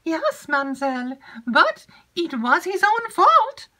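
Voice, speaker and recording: A woman reading an audiobook and voicing a chattering, gossipy young maid with breathless, drawn-out emphasis. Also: extremely high pitch, big pitch swings, sharp tone, voice pushed up, exaggerated voice.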